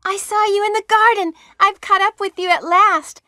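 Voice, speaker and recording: Very high-voiced young medic. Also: female voice, fairly even intonation, moderate pace, pushed and bright, no room sound, studio quality. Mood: earnest, concerned. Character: eager young female team medic. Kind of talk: stilted 1990s video game voice acting.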